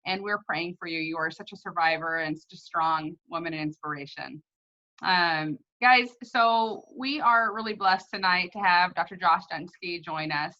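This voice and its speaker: A woman's voice: on the low side, moderate pace, sharp tone, dull audio. A woman casually hosting an online meeting in an effusive, informal way. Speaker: host of an online story-time meeting. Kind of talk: casual hosting, introducing speakers.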